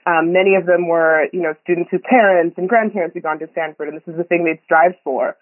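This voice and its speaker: A woman's voice: fairly low pitch, steady tone, fast, dry recording. A woman from Kenya, a podcast guest, talking reflectively with many ums and frequent laughs. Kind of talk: conversational interview. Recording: tinny phone line, dry.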